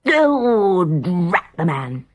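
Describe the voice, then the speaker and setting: A woman's voice: on the low side, big pitch swings, extremely slow, full character voice. A woman voicing an impatient, greedy fat king in a children's storybook, in an imperious, exclamatory way. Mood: cross, bossy. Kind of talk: storybook character voice.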